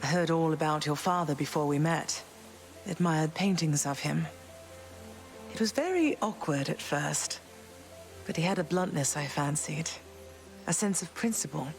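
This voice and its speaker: A woman voicing a dignified, candid goddess mother in a video game, measured and frank. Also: sits low, soft background music, rough recording. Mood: reflective, candid. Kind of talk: video game dialogue acting.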